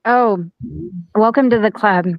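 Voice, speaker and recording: Woman, playful, teasing, mid pitch, dry sound. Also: measured pace, no echo, highs cut off. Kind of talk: casual group chat on a live stream.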